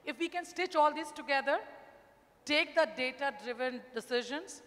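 A woman's voice: high voice, moderate pace, a bit bright. A middle-aged woman giving an unscripted answer as a panelist on a conference stage. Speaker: panelist, HR business partner. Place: large conference hall stage, indoors. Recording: reverberant conference hall.

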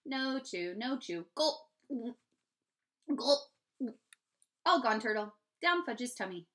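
A woman's voice: on the high side, very animated, slow, bright, forceful tone, pitched above the performer's own voice, heavily acted. A woman voicing a gleeful, mischievous toddler boy in singsong baby talk for a children's storybook. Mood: gleeful, proud. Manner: babyish short phrases, singsong.

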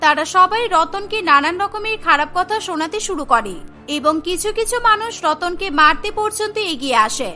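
খুব উঁচু, উজ্জ্বল গলার নারী গল্পকথক. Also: female voice, extremely high pitch, animated, normal speed, bright, forceful tone, faint music behind. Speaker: storyteller, narrator. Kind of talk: scripted cartoon story narration.

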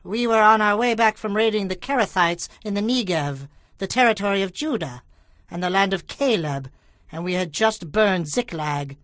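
Wary, high-voiced captive slave. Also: male voice, moderate pace, a bit bright, no room sound, clean studio sound. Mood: weary, frank. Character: captive young Egyptian slave man, wary. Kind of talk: dramatized audio Bible acting.

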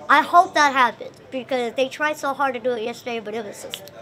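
A woman's voice: high-pitched, fairly quick, pushed and bright. A young woman giving a casual, chatty interview, often acting out what other people say. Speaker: performer being interviewed. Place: indoor lounge by a window.

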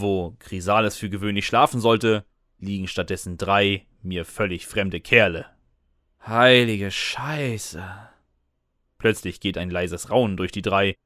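A man plays a sarcastic student narrating a comic fan audio story in the first person. His voice is slightly low and wry, recorded close and dry. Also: fairly bright tone, no echo. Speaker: narrator and lead character.

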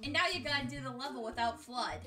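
A woman's voice: high-pitched, fast talker, distant mic. A woman hosting a gaming video, giving casual scripted countdown commentary in an exasperated, sweary, jokey way. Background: music.

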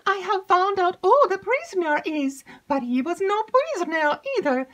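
A woman's voice: sing-song, moderate pace, a bit bright, exaggerated voice. A woman doing a breathless chattering maid. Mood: excited. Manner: breathless, gushing, high-pitched. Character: excitable chattering young female servant.

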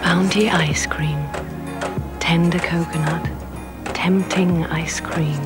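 A woman giving a scripted advert voice-over in a breathy, hushed whisper. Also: on the low side, steady tone, slow pace, over loud music.

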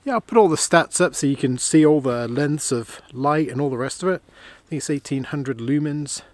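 A man's voice: on the high side, normal speed, dry recording. A man vlogging from inside a heated canvas tent on a winter camping trip, casually showing off new gear and thinking aloud as he goes. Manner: relaxed, chatty, thinks aloud with fillers. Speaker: outdoor vlogger.

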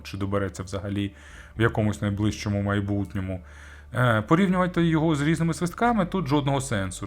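A man giving a casual gear review to viewers in a halting way, often restarting and correcting his words. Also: medium pitch. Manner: halting, with stammered false starts and self-corrections.

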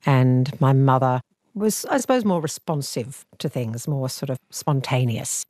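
A woman in her sixties with an Australian accent, an actor recalling her family history in a measured, reflective way. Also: very deep voice, expressive, dry recording, clean studio sound. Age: 60s. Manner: thoughtful, measured, gentle. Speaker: actor and author guest. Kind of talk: reflective interview storytelling. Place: living room, seated on a sofa.

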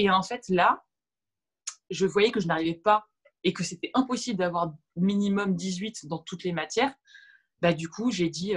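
A woman in her thirties whose first language is Arabic, a guest on a video-call interview, speaking reflectively with many fillers and restarts. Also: fairly low pitch. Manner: gestures while talking. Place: room at home with shelves. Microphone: video call webcam.

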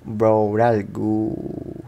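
A man's voice: fairly low pitch, completely flat delivery, extremely slow, vocal fry, dull audio, slight background noise. A man doing casual let's play commentary, talking in an informal, slangy way and often repeating his phrases. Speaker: gaming streamer.

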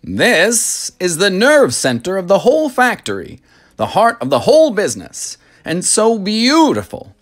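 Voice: mid-range voice, wildly swooping pitch, a little slow, faint music behind, pitched above the performer's own voice. A narrator voicing a flamboyant, boastful man who owns a storybook factory, theatrical and full of exclamations. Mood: proud, fussy.

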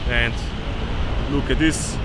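A young man talks eagerly to his camera, walking through city streets and driving. His voice sits at an ordinary middle pitch. Loud traffic and engine noise rumble behind him the whole time. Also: steady tone, slow pace. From Slovenia. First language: Slovene. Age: young adult. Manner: enthusiastic, talks straight to camera. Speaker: vlogger. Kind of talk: casual travel vlog. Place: outdoors in city streets and inside a car. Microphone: handheld camera, in-car camera.

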